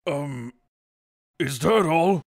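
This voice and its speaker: A man playing a stern, ponderous elderly judge who speaks formally and deliberately in a dramatic fan dub. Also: a little high, expressive, gravelly, full character voice. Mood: solemn.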